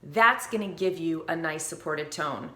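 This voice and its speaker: A woman vocal coach explaining breath support and pitch in a clear, upbeat teaching manner, with short sung demonstrations. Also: a little slow, bright, forceful tone, reverberant. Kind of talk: instructional video.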